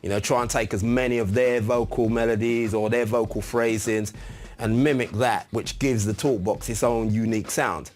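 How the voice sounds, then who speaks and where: A man's voice: mid-range voice, steady tone, moderate pace, darkish tone. Casual man giving a music gear tutorial. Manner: informal, chatty, step-by-step explaining. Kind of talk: casual instructional demonstration.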